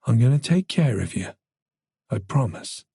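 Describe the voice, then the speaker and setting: Narrator acting Irish senior detective man, creaky husky voice, soothing, steady, protective, audiobook. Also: medium pitch, moderate pace, no room sound, clean studio sound. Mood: comforting. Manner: steady, soothing, easy and unhesitating.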